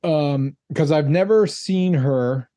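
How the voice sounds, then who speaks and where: A man co-hosting a casual movie review podcast, reading out ratings and box office numbers in a relaxed way. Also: a little high, slow, no room sound. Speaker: podcast co-host.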